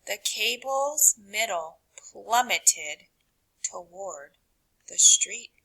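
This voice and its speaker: Animated, bright-voiced woman reading a storybook aloud. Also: mid-range voice, creaky, husky, rough recording. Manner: dramatic, deliberate phrasing with hushed drops and emphatic rises. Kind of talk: expressive read-aloud narration for children.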